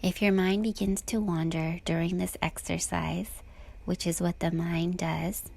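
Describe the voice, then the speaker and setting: A woman with a soft, breathy voice guides a body scan meditation in a calm, gentle, unhurried manner. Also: lowish voice, rough recording.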